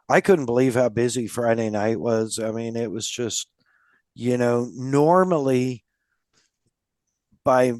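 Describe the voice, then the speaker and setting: A male podcast host talks in a loose, rambling way and keeps circling back with "um" and "you know." His voice is smooth and resonant. The recording is close and dry, with no room sound. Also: mid-range voice, darkish tone, no echo. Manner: rambling, many fillers and restarts. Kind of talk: casual interview chat.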